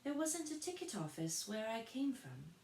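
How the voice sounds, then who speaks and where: A woman's voice: on the high side, big pitch swings, normal speed, darkish tone, roomy sound, slight background noise, pitched above the performer's own voice. A woman voicing a polite, curious young girl who speaks lightly and a little anxiously. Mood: earnest. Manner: light, earnest, slightly anxious.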